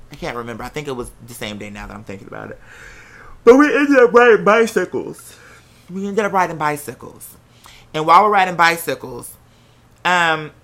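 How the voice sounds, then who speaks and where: An American podcast host telling a personal story casually, in an animated, candid and profanity-laced way. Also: mid-range voice. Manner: acts out quoted remarks while telling.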